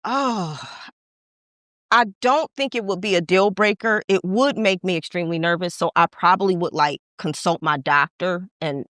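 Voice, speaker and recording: A woman in her forties co-hosting a casual podcast chat, bubbly and quick to laugh. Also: lowish voice, a bit bright, no room sound, clean studio sound. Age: 40s.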